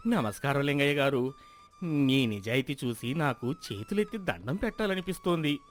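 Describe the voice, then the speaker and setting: A man's voice: mid-range voice, animated, normal speed, faint music behind, natural voice. A man playing an earnest, trusting, hardworking villager who speaks respectfully and plainly in a moral story.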